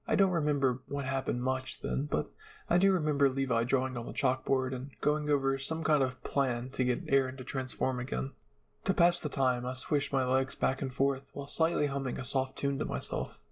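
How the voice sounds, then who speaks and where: A man's voice: medium pitch, fairly even intonation, moderate pace, vocal fry. A man reading a scripted fan fiction story as narrator, in the style of an ASMR roleplay reading.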